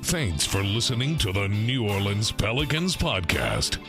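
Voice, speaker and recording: Guitar music plays clearly behind a male announcer. He reads a scripted podcast outro with a very lively, moving pitch. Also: unhurried.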